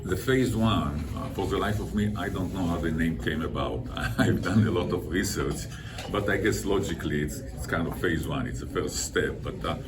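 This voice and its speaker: An older man with an Israeli accent giving an informal presentation as a guest speaker, explaining things in a halting way. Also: sits low. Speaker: guest speaker, environmental consultant. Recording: distant mic with loud background noise.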